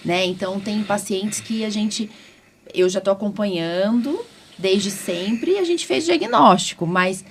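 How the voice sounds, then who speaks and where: A woman's voice: mid-range voice, animated, unhurried. A Brazilian woman doctor hosting a podcast, talking informally and often ending phrases with tag questions. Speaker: host and immunologist doctor. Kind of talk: conversational interview podcast. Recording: clearly noisy background.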